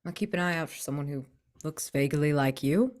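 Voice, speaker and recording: A woman's voice: low-pitched, a little fast, darkish tone, close to an everyday voice. A woman playing a protective, droll travelling companion in a tabletop game, with a wry, hesitant delivery. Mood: wry concern.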